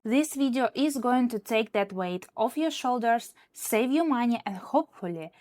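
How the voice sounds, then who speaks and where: A woman's voice: fairly high pitch, animated, moderate pace, darkish tone, no room sound, clean studio sound. A woman who is not a native English speaker, a social media consultant giving direct, emphatic advice in a scripted talk to camera.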